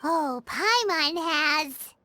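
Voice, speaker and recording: Tiny fairy sidekick, piping childlike squeak, animated swooping pitch, bubbly, eager, video game. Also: female voice, very slow, heavily acted. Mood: chatty, eager. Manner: bubbly, refers to self in third person. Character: tiny, chirpy, childlike female fairy companion, eager and loyal. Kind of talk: English video game voice acting. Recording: prominent music under the voice.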